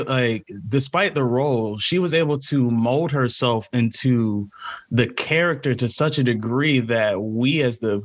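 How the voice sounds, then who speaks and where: A man's voice: medium pitch, a bit bright. A man co-hosting a podcast in casual chat, slangy, profane and enthusiastic. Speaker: podcast co-host.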